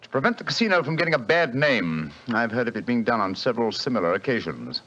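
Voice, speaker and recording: An actor playing a confident, dryly witty detective in a 1940s radio drama, with muffled old broadcast sound. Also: male voice, mid-range voice, big pitch swings, quick pace, pushed and bright. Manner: crisp, wry, confident. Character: brisk, dryly witty detective, middle-aged man.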